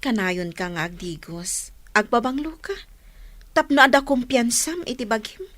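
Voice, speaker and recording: A woman with an Ilocano accent playing a scornful, sharp-tongued rival in a radio drama, scolding and taunting. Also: on the high side, normal speed, dry recording, lightly acted.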